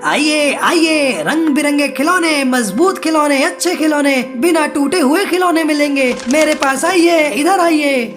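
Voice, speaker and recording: A woman's voice: sits high, measured pace, few pauses. A woman voicing a sly, greedy male crow toy seller in a children's cartoon. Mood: loud hawking. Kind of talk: Hindi children's cartoon dubbing. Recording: music behind the voice.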